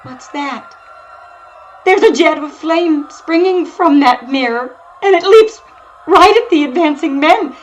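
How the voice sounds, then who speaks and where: An actress playing an excitable, overwhelmed field reporter in a 1930s-style radio drama, speaking in dramatic broken phrases. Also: female voice, high voice, unhurried, dull audio. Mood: panicked, screaming. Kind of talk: 1930s radio drama acting, breathless live commentary. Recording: noisy audio with constant ambience.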